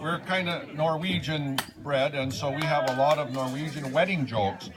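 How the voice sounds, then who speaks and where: A man's voice: a little high, normal speed, natural voice. A man playing a folksy Norwegian-American joker, Sven, telling wedding jokes with an Upper Midwest accent in a comic duo. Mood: jovial. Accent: Scandinavian-American Upper Midwest. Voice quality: folksy, chuckling, says "by golly". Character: folksy Scandinavian-American man Sven, jovial joke-teller. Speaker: wedding entertainer. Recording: live room with crowd behind. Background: crowd noise.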